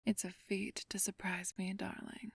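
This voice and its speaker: A woman playing a flirtatious, haughty ancient vampire thief in a whispered ASMR roleplay, teasing and condescending with a drawn-out purr. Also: mid-range voice, little pitch movement, no room sound, clean studio sound. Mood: teasing, seductive. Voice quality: breathy whisper.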